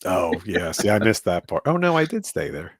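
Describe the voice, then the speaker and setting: A man's voice: low voice, big pitch swings, normal speed, no room sound, studio quality. A man hosting a casual podcast chat from New Jersey, joking and rambling with lots of fillers. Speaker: host.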